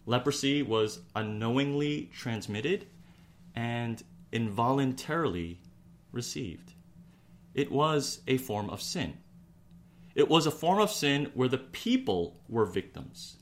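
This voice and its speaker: Man, pastor, sermon delivery, slow pace, earnest, measured, deliberate pauses, repeated phrases, faint rumbling noise. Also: expressive.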